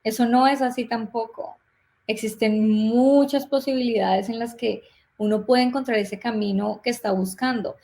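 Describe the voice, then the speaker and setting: Guest, young Colombian woman, slightly high voice, chatty storyteller who acts out conversations. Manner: lively storytelling, plays out remembered dialogue. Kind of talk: casual interview talk.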